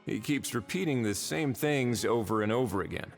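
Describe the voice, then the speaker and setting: An actor playing a shrewd, relaxed prison warden in a video game, with a casual, dry, wry manner. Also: male voice, medium pitch, moderate pace, gravelly. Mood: wry, amused. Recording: hall-like reverb. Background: soft orchestral music.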